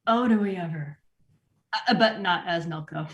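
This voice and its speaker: An actress playing an awkward, earnest store manager in a sitcom table read, mild and a little hesitant. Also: female voice, fairly low pitch, normal speed, highs cut off, lightly acted. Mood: earnest, probing.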